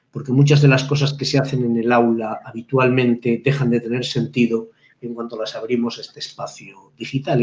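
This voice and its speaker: Halting professor in a roomy call. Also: male voice, medium pitch, darkish tone. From Spain. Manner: reflective, halting, frequent restarts and fillers. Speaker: guest professor. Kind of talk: live webinar discussion. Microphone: video call. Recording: roomy, dull video-call audio.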